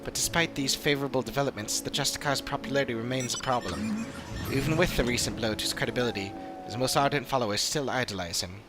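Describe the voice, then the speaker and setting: A man voicing an earnest, articulate investigator from a video game, speaking in a measured, formal way. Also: medium pitch, lightly acted. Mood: thoughtful, hopeful. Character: earnest, articulate investigator ally briefing a comrade. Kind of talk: scripted video game dialogue. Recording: game music behind voice.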